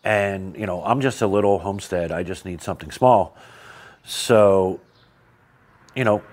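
A man's voice: low voice, unhurried, a little mellow. An American man in his forties, a homesteader vlogging casually to camera with a lapel mic around a farm, thinking aloud with lots of fillers and restarts. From United States. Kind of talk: casual vlog talk to camera. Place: farm shed, yard and barn, partly outdoors. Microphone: clip-on lapel mic.

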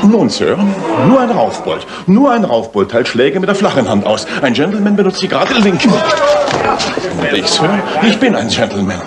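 An actor playing a self-assured gentleman detective in a radio play, wry, precise and theatrically superior. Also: sits high, huge pitch swings, normal speed. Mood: mocking, exuberant. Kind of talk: German radio play acting.

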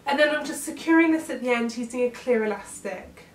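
A woman's voice: on the high side, a little fast, slight background noise. Bunged-up woman doing a hair tutorial. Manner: chatty, upbeat, often trails off while styling hair. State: congested from a cold. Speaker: beauty vlogger demonstrating a hairstyle. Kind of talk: casual how-to tutorial. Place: bedroom, indoors. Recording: roomy, slightly distant sound.

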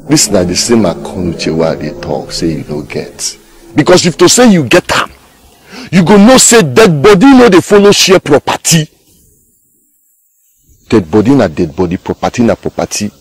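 An actor playing a domineering, sardonic gang boss in a film drama, with a Nigerian accent and a taunting, lecturing manner. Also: male voice, low voice, moderate pace, darkish tone, breathy edge. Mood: scornful, taunting. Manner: taunting, lecturing, rhetorical questions. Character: domineering, sardonic male gang boss, self-styled master.

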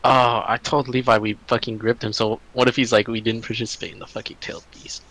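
A man's voice: mid-range voice, flat delivery, brisk, a bit bright, poor audio. A young man chatting casually with friends over voice chat during an online game, laughing often and swearing offhandedly. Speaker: gamer on voice chat.

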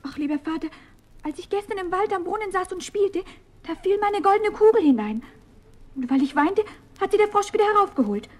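A woman's voice: extremely high pitch, lively intonation, fairly quick. An actress playing a spoiled, fretful young princess in a fairy-tale radio play, girlish and plaintive. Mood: anxious.

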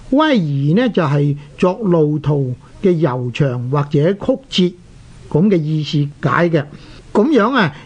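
A man with a Hong Kong Cantonese accent presenting a scholarly radio lesson, explaining in a deliberate, didactic way with drawn-out particles. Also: on the high side, darkish tone. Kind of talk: scholarly scripted lecture with recited verse. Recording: dull, lo-fi radio recording.

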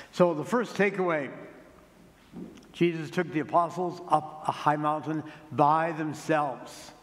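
An older male priest gives a conversational sermon in a large church, his fairly high voice ringing with a long reverb. He slows down and repeats key points for emphasis. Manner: plain, conversational preaching, with slow emphatic repetitions. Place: large church sanctuary, standing by the altar.

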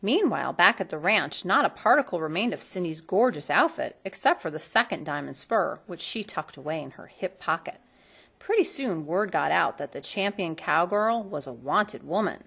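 A woman reading a children's storybook aloud in an expressive, theatrical manner that leans on colorful words. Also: fairly low pitch, moderate pace, creaky, slightly rough. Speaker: storybook reader.

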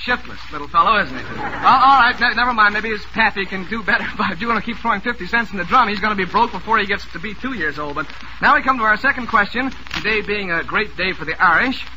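A man with a high voice runs a 1940s radio quiz show in brisk, genial patter, joking with the band. The old broadcast recording is heavy with hiss. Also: expressive.

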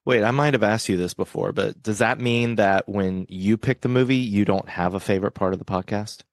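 Man co-hosting a podcast, low-pitched, jokey, on a dry close mic. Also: brisk, dry recording. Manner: jokey, laughs often, upbeat plugs. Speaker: podcast co-host. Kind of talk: casual movie podcast chat.

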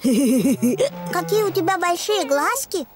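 High-pitched little girl piglet. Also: sing-song, slow pace, music in the background, full character voice. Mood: playful, bossy. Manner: simple, emphatic childlike phrasing. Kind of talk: children's cartoon dub.

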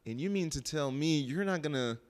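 A middle-aged man from Haiti, a bakery owner, chatting as a guest in an interview, animated and talking into a handheld microphone. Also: a little high, brisk. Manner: animated, talks with his hands, addresses listeners as y'all. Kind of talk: casual interview chat. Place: lounge studio with sofa.

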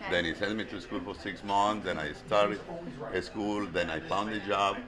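A man's voice: low-pitched, steady tone, moderate pace, crowd noise. A man in his fifties from Ecuador with an Ecuadorian Spanish accent, a restaurant owner casually telling his story in an interview. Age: 50s. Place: restaurant dining room. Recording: loud music behind the voice.

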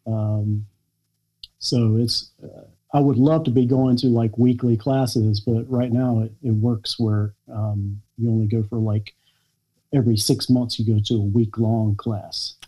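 A man in his forties from the United States, a guest talking earnestly on a video call with lots of pauses and restarts. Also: medium pitch, flat delivery, dark tone, breathy edge, rough recording. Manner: earnest, hesitant with many fillers and restarts. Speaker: guest, children's book author. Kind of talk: conversational interview. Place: small home room, indoors.

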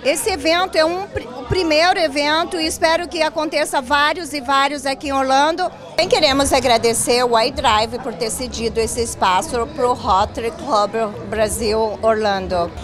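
A woman's voice: high voice, steady tone, normal speed, few pauses. A woman reporter interviewing guests at a busy event venue with a handheld mic, upbeat and friendly. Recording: noisy venue with music and crowd.

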